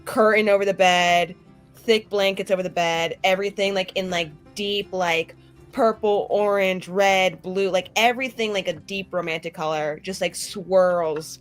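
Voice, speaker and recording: A woman's voice: medium pitch, fairly even intonation, measured pace, soft background music. A woman playing a tabletop game, bantering and improvising in an informal, sweary way. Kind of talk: casual improvised banter and roleplay.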